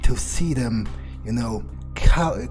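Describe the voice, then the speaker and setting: A man role-playing a proud, power-hungry, foul-mouthed vampire in a video game playthrough, thinking aloud in a halting way. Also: mid-range voice, over music, close to an everyday voice. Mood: gloating reverie. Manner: musing aloud, halting, self-satisfied. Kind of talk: in-character game playthrough monologue.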